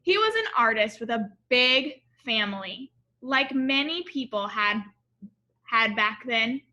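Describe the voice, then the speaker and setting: A woman voicing a loving father who tells family stories in a storybook, with gentle, measured delivery. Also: a little high, animated, full character voice. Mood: fond, reflective.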